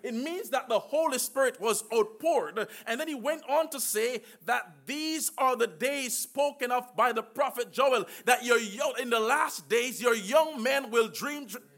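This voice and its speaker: A man preaching a sermon with emphatic call-and-response and slow, drawn-out phrases. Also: very high voice, fairly bright tone.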